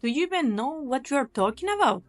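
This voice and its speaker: A woman's voice: sits high, very animated, fast, soft background music. A woman playing a cynical, sly young mercenary leader in an anime game fan dub, with a sardonic, taunting delivery. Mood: mocking, incredulous.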